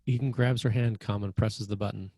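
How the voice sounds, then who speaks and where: A man narrates screenplay action in a mellow, lowish voice. The sound is close and dry, and he reads as scripted stage direction. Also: fairly even intonation, brisk, no echo.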